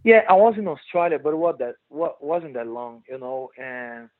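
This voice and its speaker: A Brazilian man with a Portuguese accent, a guest speaking halting non-native English with many restarts and "you know". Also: fairly high pitch, lively intonation, no room sound, narrow, tinny audio. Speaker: guest fighter. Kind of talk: casual interview chat.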